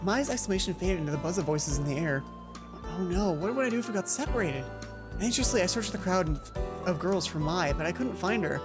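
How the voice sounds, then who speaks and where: A woman's voice: on the low side, fairly quick. A woman hosting a let's play, reading a visual novel aloud with casual asides, often correcting herself and reworking lines. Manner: animated, self-correcting, repeats lines to rehearse them. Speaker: let's play host and narrator. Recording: music playing behind the voice.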